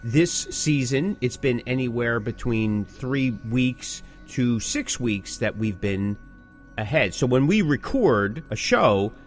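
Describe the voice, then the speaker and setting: A man's voice: medium pitch, animated, takes it slowly, soft background music. A middle-aged man co-hosting a podcast in casual chat, emphatic and prone to repeating his phrases. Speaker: podcast co-host.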